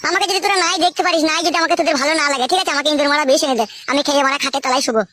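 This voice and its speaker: Woman doing whiny sweary village son in a cartoon, west bengal accent, rattles along really fast, noisy clicky audio. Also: high-pitched, sharp tone. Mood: aggrieved. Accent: rural West Bengal Bengali. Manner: whining, defiant, cursing. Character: defiant, aggrieved young married son, foul-mouthed, whining. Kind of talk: comic cartoon dialogue.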